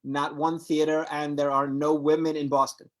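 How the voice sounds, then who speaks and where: A male host with a high-pitched voice leads the chat, teasing his guest with mock-serious questions. His tone stays playful. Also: level tone, moderate pace. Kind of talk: casual interview chat.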